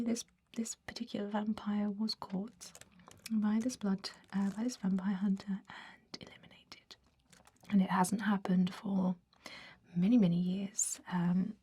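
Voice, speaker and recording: A woman voicing a centuries-old vampire job interviewer in a breathy whisper, chatty and confiding, in an ASMR roleplay. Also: medium pitch, mellow. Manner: gossipy, confiding, hesitant rambling. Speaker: human resources interviewer.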